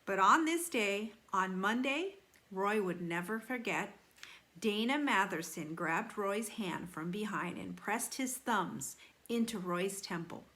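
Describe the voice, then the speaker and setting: Female storyteller for a kids' read-aloud: bright, mid-range voice, expressive and teacherly, leaning on key phrases. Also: mid-range voice. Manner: animated storytelling with deliberate emphasis and dramatic slowing. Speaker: teacher reading aloud to children.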